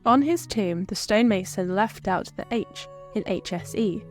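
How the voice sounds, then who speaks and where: A woman's voice: medium pitch, lively intonation, moderate pace, soft background music. A woman telling history from a script as a tour presenter.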